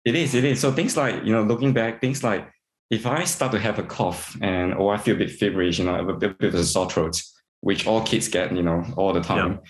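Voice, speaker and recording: Hombre de voz muy grave, sala con eco. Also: male voice, fairly low pitch, echoey room. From Singapore. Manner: halting, many repeated words and fillers, laughs often. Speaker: integrative veterinarian guest. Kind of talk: casual interview answers.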